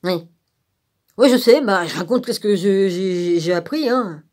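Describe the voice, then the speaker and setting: A woman's voice: mid-range voice, animated, measured pace, a little mellow. A woman on a rambling informal rant, blunt and sarcastic, with crude colloquial phrasing and frequent rhetorical tags. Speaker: vlogger.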